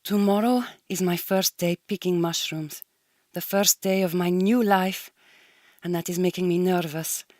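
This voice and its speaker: A woman's voice: lowish voice, fairly even intonation, unhurried, a little mellow, no echo, clean studio sound, lightly acted. An actress playing a proud, homesick Hungarian migrant woman in a radio drama, speaking a diary in slightly stilted, formal English with a Hungarian accent. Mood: tired, tender. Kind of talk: recorded audio diary monologue in a radio drama.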